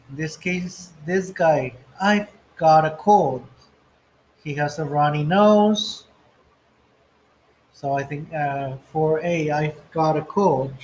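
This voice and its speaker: Guy teaching english slowly off a textbook, kinda high voice, faint hiss behind. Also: rough recording. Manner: slow, explains step by step, reads example phrases aloud. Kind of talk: textbook walkthrough lesson.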